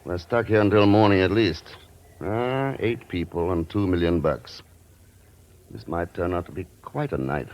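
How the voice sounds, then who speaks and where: Male, suave spy lead, low and laconic, dry wit, old-time radio drama on a dull recording. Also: unhurried. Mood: cool, dry. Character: cool, wry, suave male secret agent. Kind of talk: 1940s-50s American radio acting.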